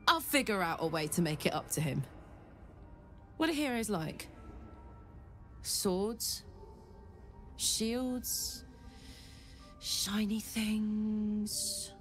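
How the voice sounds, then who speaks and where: A woman's voice: medium pitch, takes it slowly, pauses often, faint music behind. A woman playing a wry young tiefling who reflects on being saved. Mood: reflective.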